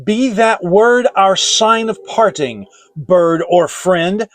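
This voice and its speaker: A man's voice: high voice, expressive, takes it slowly, fairly bright tone, dry recording, voice pushed up, exaggerated voice. A man playing a grieving, tormented scholar in a dramatic Gothic poetry recitation, pleading and declaiming theatrically. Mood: furious. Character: grieving, tormented scholar crying out at a demonic bird.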